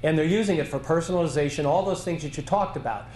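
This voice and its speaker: A man's voice: fairly high pitch, a little mellow, slight background noise. Roomy-sounding man answering at a conference. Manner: measured, thinking aloud with restarts. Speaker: conference presenter. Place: conference hall.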